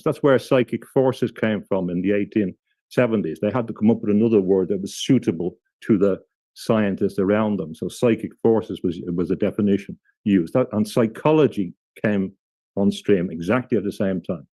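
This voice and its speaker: A man with a lowish, mellow voice talks through ideas in a measured, halting way, often backing up and restarting mid-sentence. He speaks as an interview guest, and the sound is dry and close. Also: breathy edge, no echo. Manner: thoughtful, halting, frequent restarts. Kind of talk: explanatory interview talk.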